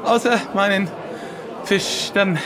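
A man's voice: sits high, steady tone, takes it slowly, over music. A man playing a nervous young pirate lad who stammers and hesitates, in an improvised comic audio play. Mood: hesitant, fearful. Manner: stammering, hesitant, repeats words.

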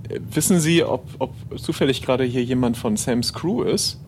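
A man's voice: medium pitch, normal speed, slight background noise. A man playing along in an improvised pirate audio drama, casual and thinking aloud. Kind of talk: casual improvised role-play dialogue.

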